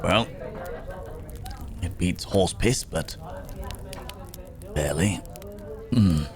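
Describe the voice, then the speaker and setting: A man's voice: low-pitched, a crowd in the background, full character voice. A man playing a weary, philosophical werewolf on the run, musing hesitantly in an intimate ASMR roleplay. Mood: wry, relieved. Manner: musing, hesitant, speaking in unhurried broken phrases. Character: weary, philosophical male werewolf, a hunted wandering companion.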